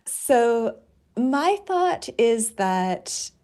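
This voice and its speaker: A woman's voice: a little high, big pitch swings, very slow, darkish tone. A woman who is a poet and author, a guest on a radio interview, speaking thoughtfully and reflectively with light laughs.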